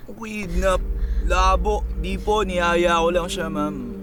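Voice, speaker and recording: A man's voice: high voice, fairly even intonation, slow pace, fairly bright tone, slight background noise. A man acting a cowardly young student bully in a Filipino radio drama, slangy and switching between Tagalog and English. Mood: pleading.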